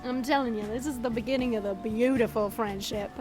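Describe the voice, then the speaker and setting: A woman's voice: fairly high pitch, fairly even intonation, fairly quick, music in the background, natural voice. A woman playing a brash, teasing female vampire in an audio roleplay, sarcastic and playful in a casual way.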